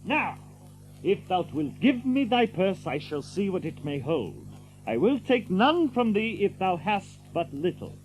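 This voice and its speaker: An actor playing a bold, hot-tempered outlaw leader in an archaic audio drama, with a blustering, declamatory manner. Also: male voice, high-pitched, sing-song, measured pace, slight background noise, low-quality recording. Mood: commanding.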